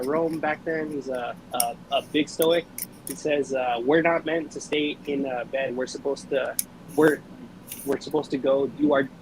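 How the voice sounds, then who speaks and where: A young man in his twenties talks quickly and earnestly, tripping over the start of his words with a slight stutter. His voice sits a little high for a man, and a steady hiss of noise runs under the recording. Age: 20s. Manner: fast-talking, earnest, repeats "man" and restarts words. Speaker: guest reptile breeder. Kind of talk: casual podcast chat. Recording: noisy podcast recording.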